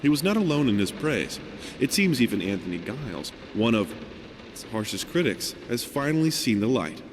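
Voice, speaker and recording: A man voicing a formal newspaper reporter in a horror game, narrating articles in a measured, theatrical way. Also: mid-range voice, sing-song, lightly acted. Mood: grandiose reporting. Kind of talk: scripted dramatic news-style narration. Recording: long hall reverb, faint background noise.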